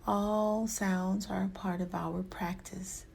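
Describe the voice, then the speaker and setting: A woman guides a meditation very slowly and gently. Her voice is soft and husky, with a creaky edge at times. She is soothing and hushed, often letting phrases fade into a whisper. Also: mid-range voice, level tone. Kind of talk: guided yoga nidra meditation.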